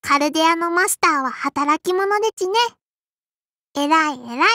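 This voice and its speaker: A little sparrow girl who runs an inn and wields a sword in an anime mobile game. Her voice is extremely high, bright and childlike, with a soft lisp. She speaks politely and primly, strict and dutiful, in a dry, close recording. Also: expressive, normal speed, no room sound, exaggerated voice. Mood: prim, cheerful. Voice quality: childlike, lisping. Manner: polite and prim, with a cutesy verbal tic. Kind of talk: Japanese anime mobile game character voice lines.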